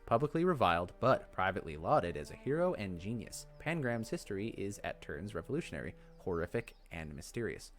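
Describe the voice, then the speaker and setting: Male narrator reading fantasy game text, low-pitched and animated, soft music behind. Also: brisk, hardly any pauses. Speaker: gaming video host. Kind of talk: reading game lore aloud.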